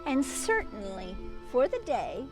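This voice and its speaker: A woman's voice: on the high side, huge pitch swings, slow, over music. A woman reading a children's storybook aloud expressively, breaking off for chatty asides to young listeners.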